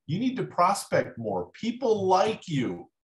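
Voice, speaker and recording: A man hosting a casual real estate livestream, coaching agents in an encouraging way and often chiming in with quick agreement. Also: sits high, huge pitch swings, roomy sound. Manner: encouraging, lots of affirming "yep"s. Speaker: livestream host, real estate broker support.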